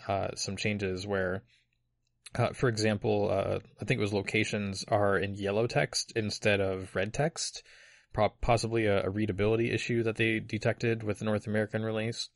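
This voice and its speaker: A man's voice: lowish voice, dry recording, studio quality. A man co-hosting a podcast, chatting casually and explaining details at length with frequent uhs and likes. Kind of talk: casual chat about a video game.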